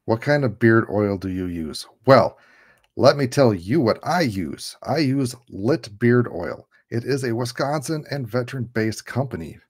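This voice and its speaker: A man's voice: mid-range voice, animated, measured pace. A man in his thirties hosting a casual livestream on a video call, laid-back and jokey, often laughing. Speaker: livestream host. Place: home room. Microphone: headset on a video call.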